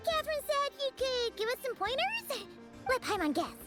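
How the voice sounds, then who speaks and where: This is a tiny fairy companion from an anime game, with an extremely high, childlike squeak. She is cutesy, excitable and easily indignant, and her pitch bounces all over the place. Music plays underneath. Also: normal speed. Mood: eager. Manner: cutesy, exclamatory. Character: tiny flying fairy companion girl, excitable, indignant, speaks in third person. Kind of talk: anime video game dialogue.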